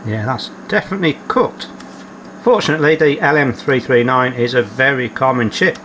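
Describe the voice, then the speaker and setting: A man's voice: medium pitch, normal speed, a bit bright, slight background noise. A man hosting a repair video, methodically talking through his workshop fault-finding and reading out measurements as he goes. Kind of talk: calm narrated workshop repair. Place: home workshop.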